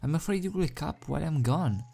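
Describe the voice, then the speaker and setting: A man voicing a caring, worried young female unicorn pony in a storybook reading, soft-spoken and anxious. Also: on the high side, animated, fairly quick, gravelly, pitched above the performer's own voice.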